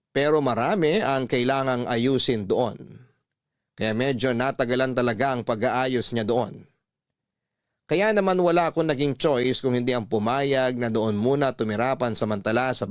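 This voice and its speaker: A man's voice: mid-range voice, no room sound, clean studio sound, lightly acted. A man with a Filipino accent reading out a resigned married woman's life story as radio drama narration.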